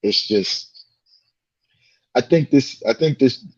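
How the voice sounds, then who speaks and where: A man's voice: mid-range voice, slow, slightly rough, muffled recording. A man co-hosting a sports talk show, losing his voice, emphatic and repeating his points as he gives score updates.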